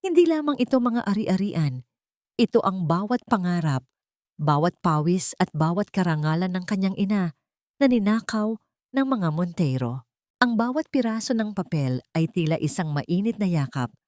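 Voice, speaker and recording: A woman with a Filipino accent narrating a dramatic scripted story in a measured, emotive way. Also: on the low side, big pitch swings, a little mellow, no echo, clean studio sound.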